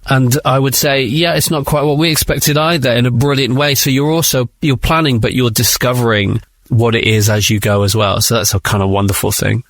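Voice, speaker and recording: Man, interviewed writer, mid pitch, thoughtful, enthusiastic, conversational, very dry recording. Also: fairly even intonation, brisk, no room sound.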